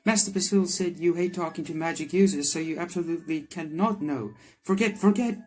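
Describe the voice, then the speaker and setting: Man as naive game golem girl, formal literal phrasing, earnest, raised pitch, faint music. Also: a little fast, darkish tone, natural voice. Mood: anxious, earnest. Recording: background music under the voice.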